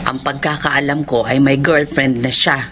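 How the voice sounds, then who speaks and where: A woman's voice: extremely low pitch, fairly even intonation, moderate pace, fairly bright tone, a little hiss or hum. An actress with a Filipino accent playing a concerned, cautioning middle-aged mother in a radio drama.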